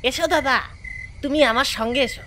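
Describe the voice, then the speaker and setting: An actor playing a lanky, cheerful ghostly manservant, playful and cajoling, in an audio story. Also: male voice, extremely high pitch, moderate pace, bright, forceful tone, slight background noise. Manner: cajoling, rustic servant speech. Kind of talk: Bengali audio story acting.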